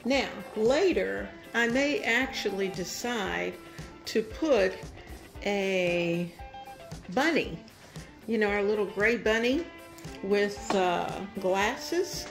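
A woman's voice: mid-range voice, animated, slow pace, gravelly, faint music behind. An older woman hosting a home decor vlog, talking casually and encouragingly as she demonstrates.

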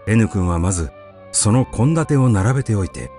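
Low-voiced male story narrator, lively intonation. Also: moderate pace, faint music behind. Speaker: audiobook narrator. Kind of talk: literary short story reading in the first person.

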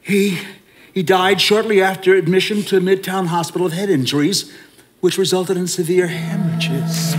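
A man's voice: sits high, lightly acted. Flustered, stammering older American husband, acted by a man. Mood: halting, stunned. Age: older, 70s or 80s. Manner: halting, stammering, agitated. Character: flustered, defensive husband with a hazy memory. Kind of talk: old-time radio drama acting. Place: live staged reading in a meeting room.

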